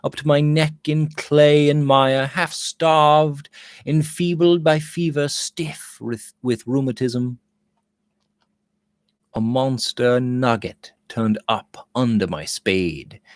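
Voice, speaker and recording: A man's voice: mid-range voice, dry recording, studio quality, natural voice. A man reading the part of a brooding, agitated gold prospector in an audiobook, with dramatic, impassioned delivery.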